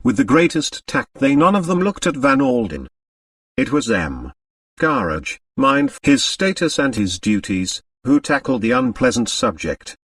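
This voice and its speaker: A man narrating a mystery novel as an audiobook, in an expressive, dramatic storytelling manner. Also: mid-range voice, measured pace, no echo, distant mic.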